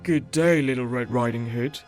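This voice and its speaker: A woman voicing a sly, menacing wolf in a children's audio fairy tale, gravelly and coaxing. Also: a bass voice, moderate pace, a little mellow, soft background music, voice pushed down.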